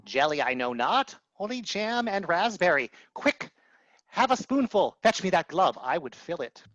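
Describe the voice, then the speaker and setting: A man's voice: high voice, sing-song, moderate pace, fairly bright tone, no echo. A man voicing existential dread personified as a haughty, absurd menace in a radio play, taunting and theatrical. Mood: eccentric, bossy. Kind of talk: mock-archaic amateur radio play acting. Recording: dull, dry lo-fi video-call audio.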